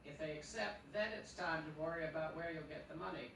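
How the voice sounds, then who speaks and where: A man acts an unruffled, admonishing master of the house, sure of himself and a little fussy. It is radio drama heard from a distance, very echoey, with clear background noise. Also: fairly high pitch, a little fast, fairly bright tone. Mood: self-assured. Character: genial but bossy, unflappable man of the house. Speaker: stage radio-play actor. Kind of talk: live radio play acting. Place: theatre stage, live performance.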